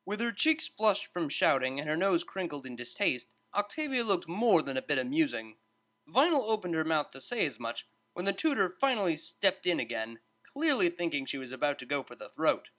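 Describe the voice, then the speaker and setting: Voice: mid-range voice, big pitch swings, moderate pace, pushed and bright. A narrator reading a scripted story as an audiobook.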